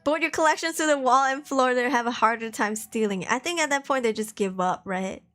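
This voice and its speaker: A young woman from Malaysia chatting casually on a live stream, reading viewer messages aloud and laughing often. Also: fairly quick. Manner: chatty, laughs often, lively jumps in pitch. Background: faint background music.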